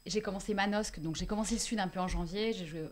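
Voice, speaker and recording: A young woman from the Lyon area, a comedian talking casually about her stage show, lively and chatty with frequent laughs and restarts. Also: mid-range voice, quick pace, slight background noise. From west of Lyon, France. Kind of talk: casual interview chat.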